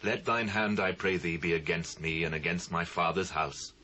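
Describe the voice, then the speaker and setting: Low-voiced, solemn biblical king. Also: normal speed, bright, forceful tone. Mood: penitent, anguished. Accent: British. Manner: grave, declamatory. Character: solemn, devout king of ancient Israel. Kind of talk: dramatised scripture reading. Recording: noisy old cassette transfer.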